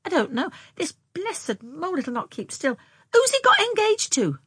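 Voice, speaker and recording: A woman voicing a brisk, blunt and curious young country girl in colloquial, exclamatory audiobook dialogue. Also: extremely high pitch, very animated, fairly bright tone, no echo, voice pushed up, heavily acted. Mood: puzzled.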